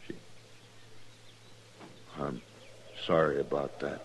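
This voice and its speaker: An actor playing a weary, cornered male outlaw who talks low, slow and tired, in a 1950s radio drama. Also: little pitch movement, low-quality recording. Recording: hissy 1950s radio recording.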